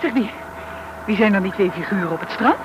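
A woman's voice: medium pitch, animated, fairly quick, close to an everyday voice. An actress playing an anxious, eager, inquisitive younger woman in a radio drama. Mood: anxious, curious. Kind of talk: Dutch radio drama acting. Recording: dull, noisy old radio recording.

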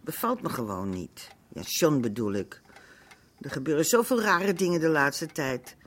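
Fretful, blunt wife. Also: female voice, sits low, expressive, normal speed. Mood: worried. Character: worried, nagging middle-aged wife running an Amsterdam café. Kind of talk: naturalistic radio drama acting.

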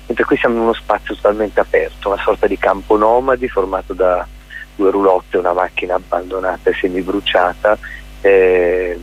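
A male theatre director and playwright being interviewed on radio, explaining his production in articulate lists with hesitant pauses. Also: sits low, husky, lo-fi sound.

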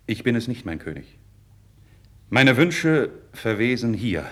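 A man's voice: medium pitch, sing-song, a little slow, a bit bright. An actor playing an idealistic, proud young nobleman in a classical radio drama, with earnest, declamatory, formal diction. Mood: earnest, restrained. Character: idealistic young nobleman, passionate, proud, candid before his king. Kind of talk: 1950s German radio drama, classical verse acting.

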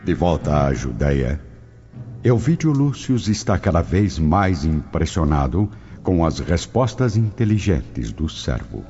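A man's voice: sits low, sing-song, unhurried. A man narrating a scripted radio drama set in ancient Rome in a solemn storyteller's manner. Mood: solemn storytelling. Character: solemn male narrator of an ancient Roman tale. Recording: dull lo-fi audio under music. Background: prominent music.

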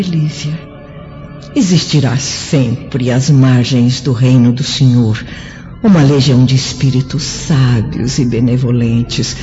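A woman's voice: deep voice, warm tone. A woman playing a serene, devout noble spirit in a solemn, lyrical, declamatory radio drama. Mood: tender solemn. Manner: lyrical, declamatory, drawn-out phrasing. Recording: muffled old radio drama with music.

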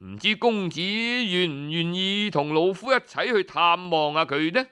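A man's voice: high voice, expressive, measured pace, pushed and bright, clear, resonant tone, exaggerated voice. Man voicing a proud old Cantonese scholar. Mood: self-assured. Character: proud, self-assured elderly male scholar.

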